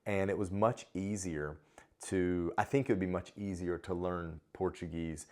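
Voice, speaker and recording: A young man co-hosting an expat travel vlog, talking in a relaxed, rambling way with fillers and restarts. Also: low-pitched, normal speed. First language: English. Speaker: co-host of expat travel channel. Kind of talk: casual conversational chat.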